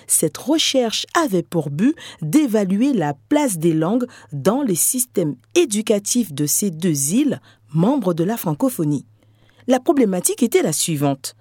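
A middle-aged woman, a researcher, giving a measured, scripted podcast narration. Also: medium pitch. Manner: measured, storytelling delivery. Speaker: researcher and lecturer.

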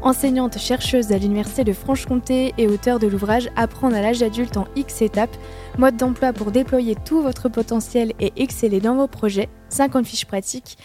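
A woman reading the closing credits of a podcast in an even, crisp announcer read. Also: fairly high pitch, moderate pace, soft background music. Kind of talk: scripted outro announcement.

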